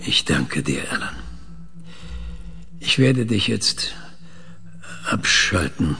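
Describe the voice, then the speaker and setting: A man acting in a radio play as a calm, controlling scientist with a husky, gravelly voice and a measured, deliberate way of talking. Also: low voice, faint music behind, exaggerated voice. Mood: cool, firm. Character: calm, controlling male scientist interrogating an artificial mind. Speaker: radio drama actor.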